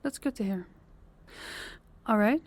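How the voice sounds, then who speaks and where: A woman playing a composed, stern female crime boss in an audio drama, speaking deliberately and with authority. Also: medium pitch, darkish tone, natural voice. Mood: composed, approving. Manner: authoritative, deliberate, clipped orders. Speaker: voice actor. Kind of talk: audio roleplay drama acting.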